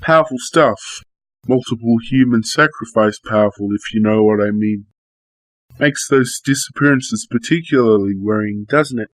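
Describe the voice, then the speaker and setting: A man's voice: on the low side, normal speed, no echo, lightly acted, low-quality recording. A man playing a matter-of-fact police detective giving a briefing in a radio play. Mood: grave, confiding.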